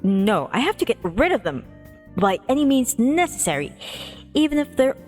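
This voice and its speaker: A woman's voice: a little high, sing-song, moderate pace, a little mellow. A woman livestreamer reading a visual novel aloud expressively, with playful casual asides. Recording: music behind the voice. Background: music.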